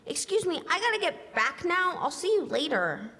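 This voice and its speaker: A young female performer playing an excitable, evasive little girl in a live stage radio drama. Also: very high voice, normal speed, heavy background noise. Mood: eager, impatient. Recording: echoey stage hall.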